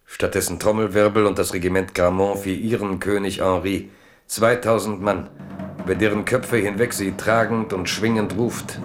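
A man's voice: on the low side, steady tone, normal speed, music in the background, natural voice. A man narrating a literary radio play from a script as its storyteller.

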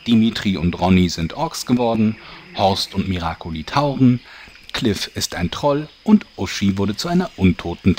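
An actor playing a jovial storyteller who narrates a parody fantasy audio drama in a scripted style. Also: male voice, low voice, a little mellow, a little hiss or hum. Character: jovial male storyteller addressing his listeners.

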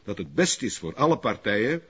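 An actor playing a pompous, evasive middle-aged burgomaster in a TV crime drama, with formal, wordy phrasing and a Flemish Belgian accent. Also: male voice, mid-range voice, very animated, a little fast, vocal fry, a bit bright. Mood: placating. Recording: muffled, lo-fi broadcast audio.

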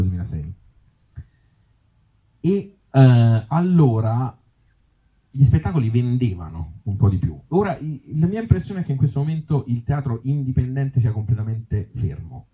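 A man's voice: on the low side, mellow, lo-fi sound. A middle-aged man with a Tuscan accent talking off the cuff to a live audience as an interviewed actor, colloquial and wry, with some mild swearing. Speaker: actor and author being interviewed. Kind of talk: spontaneous talk to a live audience.

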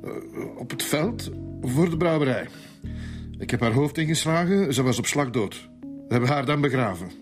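A man's voice: medium pitch, lively intonation, moderate pace, faint music behind, close to an everyday voice. An actor with a Flemish accent playing a desperate, pleading middle-aged father in a radio drama. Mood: anxious, insistent.

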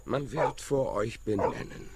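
A man's voice: medium pitch, unhurried, heavy background noise, close to an everyday voice. An actor playing an ominous, talkative village workman who delivers rhetorical warnings in a radio drama. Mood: ominous, insistent. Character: one-handed village workman, prophetic, ominous, talkative orator.

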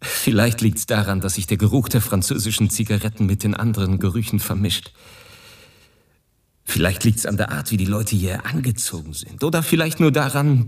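An actor playing a world-weary man abroad in a radio drama monologue, husky and ruminative. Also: fairly low pitch, expressive, normal speed, recorded from a distance. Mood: wistful, musing.

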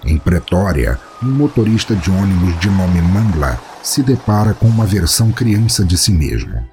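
A man with a Brazilian Portuguese accent narrates a scripted horror story in a measured, solemn, dramatic way. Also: low-pitched, very animated, over music. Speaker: podcast narrator.

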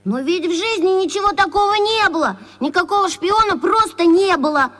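Super high young voice, earnest n insistent, radio play, echoey hall. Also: female voice, expressive, normal speed, fairly bright tone. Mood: earnest protest. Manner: insistent, protesting. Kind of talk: audio drama acting.

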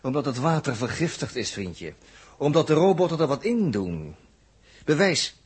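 A husky man's voice with lively swings in pitch plays a wry, defiant captive from Earth. He teases and needles casually, as in an old 1960s science-fiction radio play. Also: moderate pace. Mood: firm, persuasive. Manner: sardonic, teasing, casual. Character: wry, defiant male space traveller from Earth, a prisoner. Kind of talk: 1960s Dutch radio drama acting.

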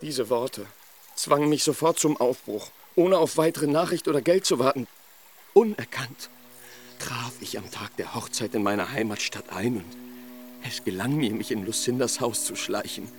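A man's voice: medium pitch, moderate pace, natural voice. An actor playing a heartbroken young nobleman in a radio play, recounting his misfortune in ornate, impassioned words over music. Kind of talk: German radio play acting.

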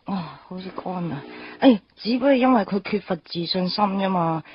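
A woman's voice: mid-range voice, lively intonation, moderate pace, muffled recording, a little hiss or hum, lightly acted. An actress with a Hong Kong Cantonese accent playing a playful, candid young woman in a radio drama, casual and teasing. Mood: frank.

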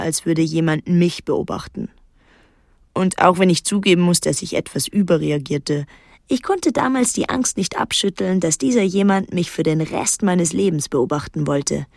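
A woman's voice: lowish voice, vocal fry, no room sound. An actress playing a guarded, introspective eighteen-year-old island girl who tells her story in a literary radio drama. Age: young adult. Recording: dry close studio, music underneath. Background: soft music.

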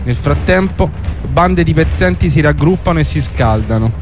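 A man's voice: on the high side, lively intonation, moderate pace. A man hosting and narrating a scripted historical radio programme. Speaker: host and narrator of a radio series. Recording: music under the voice. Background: music.

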